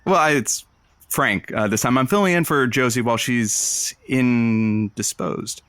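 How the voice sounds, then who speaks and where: An actor playing a radio producer filling in as host of a lonely-hearts show, wry and philosophical, a little hesitant in conversation. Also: male voice, mid-range voice, measured pace, fairly bright tone, dry recording, clean studio sound, close to an everyday voice. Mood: hesitant, friendly. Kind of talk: radio call-in monologue and banter.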